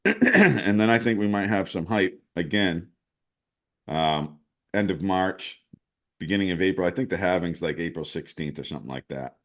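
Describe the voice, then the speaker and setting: A man's voice: low-pitched, very animated, normal speed. A man co-hosting a casual show, talking through charts and thinking aloud with many restarts. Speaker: co-host, chart analyst. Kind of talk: casual crypto chat over screen-shared charts.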